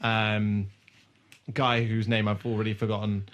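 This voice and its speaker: A man's voice: on the low side, flat delivery, moderate pace, fairly bright tone. A man co-hosting a podcast, casually recapping a TV show with an irreverent, sweary manner. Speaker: podcast co-host.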